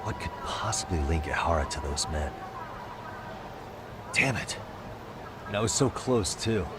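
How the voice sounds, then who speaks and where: An actor playing a determined detective who questions people in a firm, probing way, in a video game cutscene. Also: male voice, low voice, lively intonation, unhurried, very noisy.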